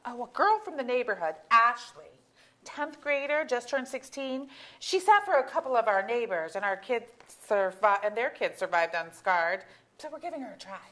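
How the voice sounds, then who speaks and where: A woman's voice: fairly high pitch, lively intonation, normal speed, pushed and bright. A woman acting an exasperated, candid middle-aged mother in a staged comedy reading, with a wry, emphatic delivery. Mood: chatty, amused. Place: auditorium stage.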